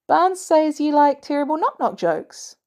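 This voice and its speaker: Storybook role: eager teen boy, played by a woman, high and lively, wide-eyed, slightly shy. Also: measured pace, full character voice. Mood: playful. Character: excitable, eager teenage boy, bright kid.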